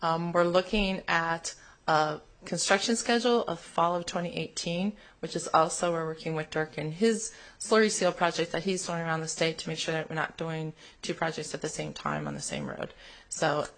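A woman with a boomy voice, a traffic safety engineer presenting a project at a public meeting in an echoey chamber, upbeat and chatty. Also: steady tone, moderate pace.

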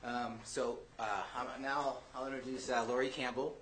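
A man on city transportation staff giving background at a public meeting, halting, with many fillers. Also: mid-range voice, little pitch movement, fairly bright tone, a little hiss or hum.

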